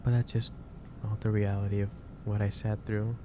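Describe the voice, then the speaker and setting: A man doing a soft ASMR roleplay as a gentle, caring office boss, speaking hesitantly with pauses mid-sentence as he gives advice. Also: lowish voice, level tone. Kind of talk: soft ASMR roleplay, improvised monologue to the listener. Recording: clearly noisy background ambience.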